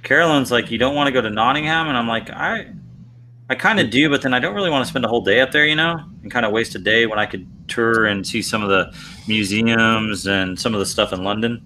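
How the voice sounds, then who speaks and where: A man's voice: medium pitch, fast talker, sharp tone, slight background noise. An American man co-hosting a podcast, bantering in easy casual chat. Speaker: podcast co-host.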